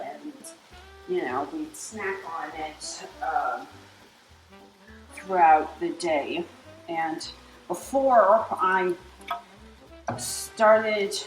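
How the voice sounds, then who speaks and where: A woman hosting a home cooking show, chatty and relaxed as she explains each step in her kitchen. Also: medium pitch. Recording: echoey kitchen with background music.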